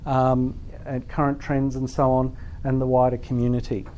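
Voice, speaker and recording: A man's voice: medium pitch, monotone, moderate pace, dark tone, dull audio, slight background noise. A middle-aged man presenting a workshop at a teachers' forum, speaking thoughtfully with frequent ums and restarts. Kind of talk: conference workshop talk. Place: lecture room at a teachers' forum.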